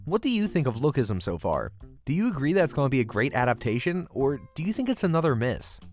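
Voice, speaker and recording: A man delivering a scripted video review, speaking in an articulate, measured way with deliberate emphasis on key phrases. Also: mid-range voice, very animated, a little mellow. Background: background music.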